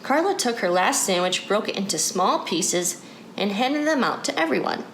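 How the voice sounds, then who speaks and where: Animated woman reading a children's story. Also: mid-range voice, moderate pace, roomy sound. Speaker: story reader. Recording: clear background noise.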